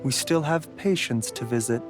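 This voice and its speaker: A man playing a patient, reassuring doctor in a video game, soft-spoken, soothing and polite. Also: medium pitch, moderate pace, faint music behind, natural voice. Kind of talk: English video game voice acting.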